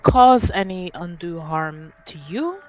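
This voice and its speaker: A woman's voice: on the low side, lively intonation, slow. A woman playing a guarded half-elven noblewoman in a tabletop fantasy game, speaking formally and with reserve.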